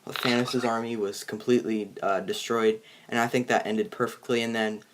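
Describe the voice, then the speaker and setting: A man hosting a movie review in casual chat, halting and often restarting his sentences. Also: mid-range voice, flat delivery, noisy background. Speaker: host of a movie review show.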